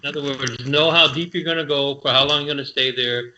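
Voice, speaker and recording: A man explains practical know-how plainly in a relaxed live-stream chat. His tone is bright and sharp, heard through a dull, lo-fi line. He speaks at a middle pitch. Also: fast talker.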